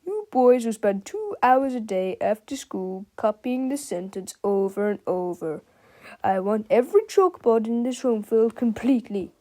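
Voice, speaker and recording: A woman voicing an angry, bullying middle-aged male school principal from a storybook, shouting and growling with menace. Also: very high voice, very animated, extremely slow, voice pushed up, heavily acted. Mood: menacing.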